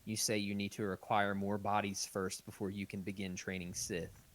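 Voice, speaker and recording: A man's voice: lowish voice, flat delivery, moderate pace. A man reading text adventure game narration aloud and chatting casually as a podcast host.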